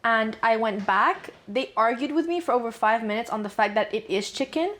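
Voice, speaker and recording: A woman's voice: a little high, expressive, normal speed, a bit bright, a little hiss or hum. A young woman from Dubai, a student guest answering interview questions in a frank, chatty way and laughing easily. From Dubai, UAE. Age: young adult.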